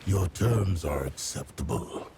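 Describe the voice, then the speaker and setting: Haunted, vengeful revenant for a game: very slow, gravelly rasp dropping to whispers, intense. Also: male voice, low voice, lively intonation, warm tone, a little hiss or hum. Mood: ominous. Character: vengeful undead male killer, haunted, brooding. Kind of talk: video game cutscene acting.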